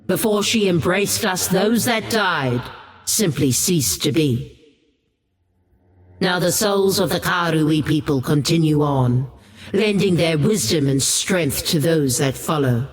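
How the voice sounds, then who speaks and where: A solemn lore-keeper from a fantasy game, with a somewhat high male voice. The delivery is slow, reverent and deliberate, and long reverb trails each phrase as in a great cavern. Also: lively intonation. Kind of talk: solemn scripted lore narration.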